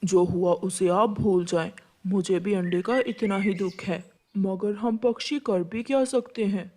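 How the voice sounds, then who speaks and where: A woman voicing a calm, resigned male bird who consoles his wife in a cartoon, measured and soothing. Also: medium pitch, close to an everyday voice. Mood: resigned, consoling. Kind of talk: Urdu cartoon voice acting.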